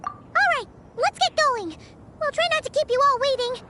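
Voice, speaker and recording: A woman voicing a small, childlike, eager fairy companion with a squeaky voice in a video game. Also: animated, normal speed, pushed and bright, exaggerated voice. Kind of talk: video game character acting. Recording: music behind the voice.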